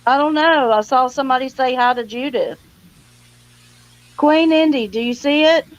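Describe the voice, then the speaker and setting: A woman's voice: high-pitched, normal speed, highs cut off. A woman hosting a casual livestream, chatty, folksy and welcoming. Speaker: livestream host.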